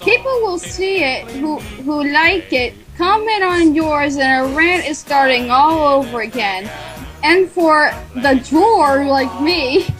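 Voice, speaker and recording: A woman co-host reads a passage aloud, stumbling and halting as she goes. Her voice is high. Clear music plays underneath. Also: expressive, fairly bright tone. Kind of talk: reading a text aloud.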